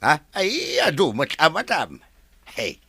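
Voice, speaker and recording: An actor playing a deferential older male resort caretaker who pleads and bursts into shouts. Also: fairly high pitch, huge pitch swings, takes it slowly, slightly rough, no echo, heavily acted. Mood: pleading.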